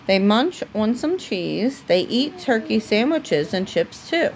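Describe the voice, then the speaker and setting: Woman, storybook read-aloud, slow pace, deliberate pauses, motherly bedtime reading, light background noise. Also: medium pitch, sing-song, vocal fry, a little mellow.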